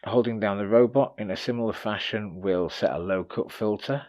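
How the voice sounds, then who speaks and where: A man's voice: on the low side, moderate pace, a little mellow. A man giving a casual, informal product review, explaining features and tips in a slightly hesitant way. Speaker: gear reviewer presenting a demo.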